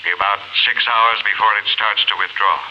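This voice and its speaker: An actor playing a grim, halting radio announcer in a doomed city, in a 1950s radio drama. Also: male voice, lowish voice, steady tone, close to an everyday voice. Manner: halting, urgent, resigned. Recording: dull, lightly noisy 1950s radio recording.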